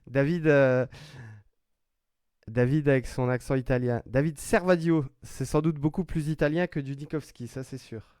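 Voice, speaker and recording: Slow, chatty man announcing card pulls. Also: medium pitch, fairly even intonation, a little mellow, clean tone, dry recording, studio quality. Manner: chatty, announces player names one by one, fillers and restarts. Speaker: trading card break host. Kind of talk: live commentary while opening card packs.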